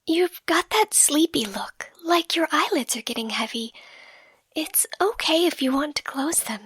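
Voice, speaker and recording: A soft, breathy, often whispering catgirl girlfriend, acted by a woman, lulls her partner toward sleep in an ASMR bedtime roleplay. Her high voice moves very slowly, tender and reassuring. It is recorded dry and close to the microphone. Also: animated, dry recording. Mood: calm, intimate. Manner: tender, gentle, unhurried, reassuring. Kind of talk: ASMR bedtime roleplay and slow storybook reading. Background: soft music.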